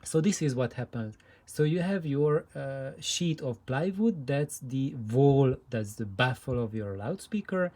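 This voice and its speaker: Male presenter for hobbyist explainer: mellow tone, unhurried pace, deliberate and halting unscripted delivery. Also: medium pitch, lively intonation. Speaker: presenter of hobbyist audio videos.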